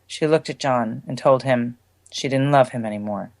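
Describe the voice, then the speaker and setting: A story reader narrates third-person literary fiction in a medium-pitched voice. The sound is dry and close. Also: normal speed, dry recording.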